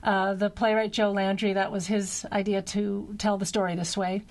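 Middle-aged woman, mid pitch, theater director being interviewed, self-correcting, conversational, close studio mic. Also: flat delivery, a little mellow. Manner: halting, self-correcting explanations. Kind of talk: casual radio interview. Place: small radio studio. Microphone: boom-mounted broadcast microphone up close.

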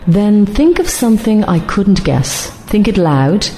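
A woman playing a polite, composed telepath in an audio drama, measured and courteous. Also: mid-range voice, very animated, dark tone, a little hiss or hum.

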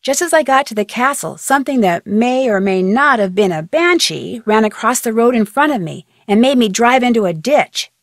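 A young American woman detective in a video game. She is polite, curious and matter-of-fact, with a fairly high voice recorded close and dry. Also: animated, moderate pace, few pauses, no room sound. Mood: earnest, puzzled. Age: young adult. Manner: friendly, clear-spoken, matter-of-fact. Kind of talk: video game voice acting, phone dialogue.

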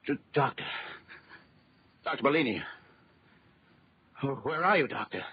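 An actor playing a determined but uneasy detective in a 1930s radio drama, earnest, halting and urgent. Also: male voice, medium pitch, lively intonation, gravelly, breathy edge, full character voice. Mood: alarmed, baffled. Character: determined, uneasy male detective demanding answers. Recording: dull old 1930s radio recording.

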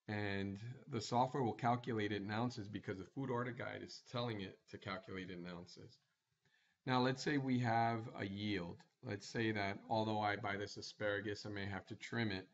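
Low-voiced man explaining software steps. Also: vocal fry, dull audio. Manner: plain, step-by-step instructional. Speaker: software tutorial presenter. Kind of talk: screencast software walkthrough.

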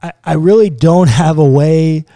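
A man in his thirties, a pastor, earnestly explaining a Bible passage step by step in a podcast conversation, close to a boom mic in a small studio. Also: a little slow, dark tone, no room sound. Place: small studio room with bookshelves.

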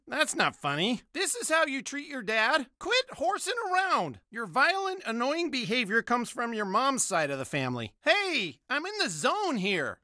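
A bumbling, paranoid dad from an animated comedy game. His whiny, foul-mouthed delivery swings widely in pitch. The sound is close and dry. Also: dry recording, exaggerated voice. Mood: irritated. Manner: jittery, whiny, exclamatory.

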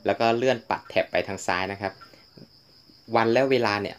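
A man in his thirties explaining things to camera in a polite, steady way, sitting outdoors on a tractor in farmland. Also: fairly low pitch, fairly quick. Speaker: vlogger explaining a weather app. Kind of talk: casual instructional talk to camera.

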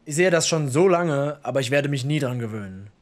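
A man's voice: mid-range voice, expressive, fairly quick, lightly acted. A man voicing an uneasy, curious everyman in an audio horror story, chatty and hesitant. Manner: hesitant, questioning.

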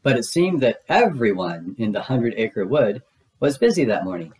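Expressive man narrating a children's storybook. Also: medium pitch, moderate pace. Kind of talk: children's read-aloud narration.

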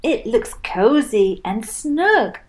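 A woman's voice: fairly high pitch, big pitch swings, slow. A woman voicing an eager, insistent young male rabbit in a children's storybook, with emphatic exclamations. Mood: cheerful, insistent.

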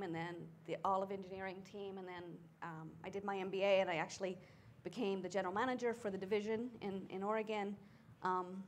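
A middle-aged woman speaking at a conference panel as a tech executive, talking in a relaxed way with many filler ums. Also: mid-range voice, a little hiss or hum. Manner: conversational, frequent filler ums. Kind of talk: panel discussion. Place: conference stage seated in armchair.

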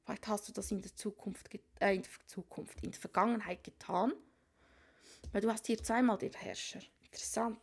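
Gravelly-voiced woman reading tarot cards. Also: medium pitch, steady tone, a little fast. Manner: musing, thinks aloud, frequent whispered asides. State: has a cold. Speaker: tarot reader. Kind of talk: casual tarot reading to viewers. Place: small room at a card table.

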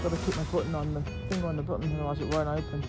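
A woman's voice: deep voice, level tone, rapid-fire, over loud music. A woman, joking wryly about boat life as she co-hosts a vlog. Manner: wry, self-mocking, laughs often. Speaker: vlog co-host. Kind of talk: casual chat. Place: outdoors by a canal, then inside a narrowboat.

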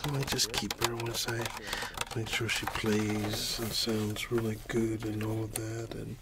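A man with a slightly low voice speaks very slowly in a soft ASMR roleplay style, slipping into whispers. He talks haltingly, like one friend murmuring to another. The recording has clear background noise. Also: level tone. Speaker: ASMR creator.

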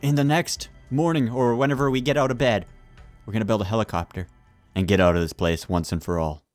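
A man's voice: on the low side, fairly even intonation, fairly quick, dry recording, soft background music. A man hosting a gaming video, giving exclamatory, urgent commentary while playing with friends. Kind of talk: excited gameplay commentary with friends.

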